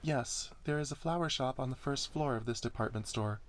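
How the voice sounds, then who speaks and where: A man voicing a polite, earnest young female service robot, speaking softly in formal, wordy sentences for an ASMR story reading. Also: medium pitch, fairly quick, slight background noise, pitched above the performer's own voice, close to an everyday voice. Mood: apologetic, courteous. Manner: verbose, courteous, formal.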